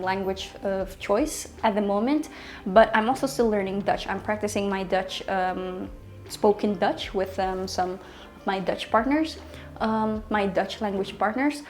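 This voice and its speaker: Young woman, mid-pitched, conversational vlogger, lots of ums. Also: fairly even intonation, unhurried, a little mellow, soft background music. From Indonesia. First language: Indonesian. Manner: chatty, many ums and restarts. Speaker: language teacher and vlogger. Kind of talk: casual advice vlog. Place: small bedroom indoors.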